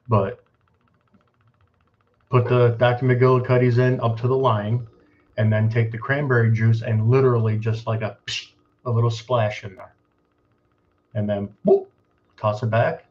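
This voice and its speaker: A man's voice: mid-range voice, fairly even intonation, a little slow, pauses often, a little hiss or hum. A man co-hosting a live stream, chatting casually and joking. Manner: joking, easygoing. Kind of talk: casual chat. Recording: dull lo-fi stream audio.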